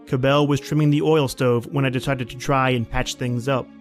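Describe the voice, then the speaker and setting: A man's voice: medium pitch, steady tone, normal speed, soft background music, natural voice. An actor playing an edgy, short-tempered man at a remote outpost in an old-time radio drama, with terse, reflective delivery. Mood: somber, reflective. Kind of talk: old-time radio drama acting and first-person narration.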